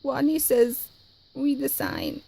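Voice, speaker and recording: A woman voicing a tiny, timid male rat pupil who squeaks and says w in place of r. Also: wildly swooping pitch, slow pace, gravelly, mellow, breathy edge, exaggerated voice.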